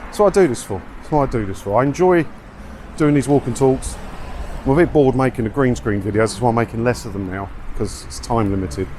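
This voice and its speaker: A man's voice: medium pitch, a little fast, a little mellow. A man with an English accent giving a casual, rambling, self-deprecating and grumbling walk-and-talk vlog. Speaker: video blogger, host. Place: outdoors, walking along a windy seaside town street. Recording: windy outdoor street noise. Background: wind, street and vehicle noise.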